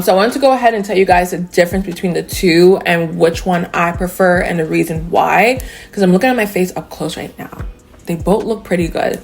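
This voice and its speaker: A woman doing a casual beauty product review at home, chatty and talking straight to her viewers, her voice a little raspy and tired. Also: lowish voice. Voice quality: slightly raspy. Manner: chatty, addresses viewers as y'all. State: tired after a nap. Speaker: beauty vlogger. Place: home room by a window.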